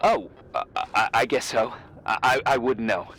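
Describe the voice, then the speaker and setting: An actor playing a nervous, awkward American emergency agent in a video game, stammering and hesitant but eager to help. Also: male voice, medium pitch, moderate pace, a bit bright. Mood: awkward, reassuring. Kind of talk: naturalistic video game acting.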